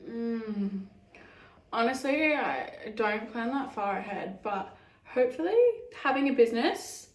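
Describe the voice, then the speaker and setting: Aussie girl in her twenties, chatty and giggly, kinda high voice, echoey room. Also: expressive, measured pace. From Australia. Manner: chatty, giggly, lots of "like" fillers. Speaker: YouTuber answering fan questions. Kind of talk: casual Q&A chat.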